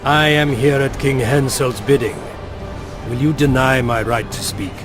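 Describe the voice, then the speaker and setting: A man's voice: medium pitch, unhurried, music in the background, natural voice. A man playing an indignant imperial ambassador in a video game, speaking formally and insistently. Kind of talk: video game dramatic dialogue.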